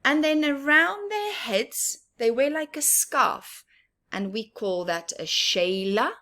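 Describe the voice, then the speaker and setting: A woman reading a picture book aloud to children in an expressive, teacherly way, adding simple explanations and questions for the listener. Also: high voice, takes it slowly. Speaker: children's story time reader.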